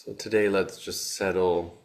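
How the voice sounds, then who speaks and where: A man leading a guided meditation, speaking calmly with long pauses. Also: deep voice, creaky. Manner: slow, calm, with long pauses. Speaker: meditation teacher.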